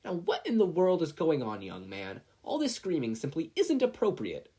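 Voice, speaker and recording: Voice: mid-range voice, huge pitch swings, moderate pace, warm tone, voice pushed up. A story narrator voicing a prim, flustered woman who teaches kindergarten. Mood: prim scolding. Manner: scolding, then coaxing.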